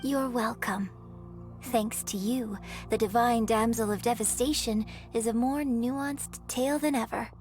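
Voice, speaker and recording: An actress playing a graceful young opera singer in a game, speaking with refined, gentle confidence. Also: female voice, on the high side, fairly even intonation, unhurried, faint music behind, lightly acted. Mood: warm. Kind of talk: game voice acting.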